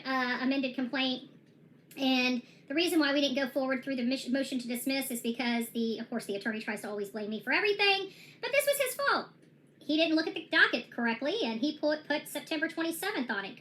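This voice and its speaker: A woman with a high, bright, sharp-edged voice talks through her own lawsuit in a casual vlog monologue. She rambles and stresses her points, often stopping and restarting her sentences. A faint hiss sits under her voice. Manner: rambling and emphatic, with frequent restarts. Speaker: self-represented plaintiff giving a case update.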